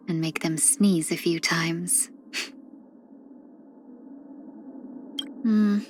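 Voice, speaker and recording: A woman performs a gentle fox-woman from a video game, her voice slightly low and very unhurried. She is polite and wistful, with a quiet cunning under soft, measured phrasing that often thins into breathiness. A faint background noise sits behind her. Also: close to an everyday voice. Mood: wry, musing. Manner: soft-spoken, measured, reflective, drifting into breathy near-whispers; polite and refined. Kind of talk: scripted game character voice lines.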